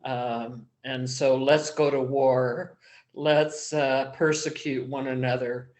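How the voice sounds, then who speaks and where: A man in a casual book discussion group, talking slowly and haltingly with many pauses and fillers. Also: medium pitch, flat delivery, darkish tone. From small-town background.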